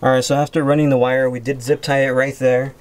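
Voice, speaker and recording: Male how-to host, mid-pitched and clear, informal, explaining step by step. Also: little pitch movement, fast talker. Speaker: video host. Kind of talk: casual how-to tutorial.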